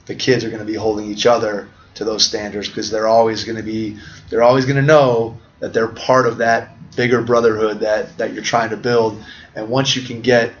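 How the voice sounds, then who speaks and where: A man's voice: lowish voice, level tone, a little fast. Middle-aged guy hosting a podcast, long rambling thoughtful questions, kinda muffled audio. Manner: reflective, talks in long winding sentences. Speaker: podcast host. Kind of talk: conversational interview. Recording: dull lo-fi audio.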